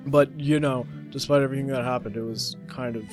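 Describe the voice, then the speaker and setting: A man's voice: medium pitch, steady tone, fairly quick, music in the background, natural voice. A man voicing a cheerful, chatty female student in a visual novel, breezy and friendly.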